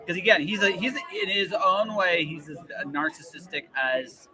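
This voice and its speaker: A man's voice: high-pitched, normal speed, pushed and bright, poor audio. A man hosting a casual podcast conversation, talking emphatically and often repeating his words and phrases for stress. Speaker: podcast host. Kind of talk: casual interview chat.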